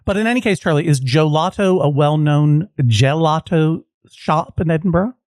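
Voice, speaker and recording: A man's voice: on the high side, moderate pace, a little mellow, no echo. Male podcast host, wry and jokey, asks meandering questions, dry studio sound. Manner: wry, joking, rambling asides. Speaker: podcast host acting as judge. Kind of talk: playful comedic questioning.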